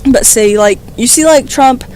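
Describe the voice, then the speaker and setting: A slightly hoarse woman reading a political text aloud on a stream and breaking into rambling, profane, emphatic commentary into a desk microphone. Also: on the high side, moderate pace, a little mellow, slight background noise. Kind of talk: casual read-aloud with commentary.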